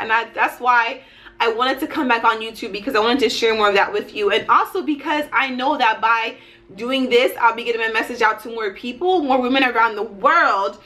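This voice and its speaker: A woman's voice: fairly high pitch, pushed and bright. A woman in her thirties giving a casual motivational vlog talk, emphatic and folksy, often checking in with her listeners. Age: 30s. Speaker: YouTuber and life and business coach.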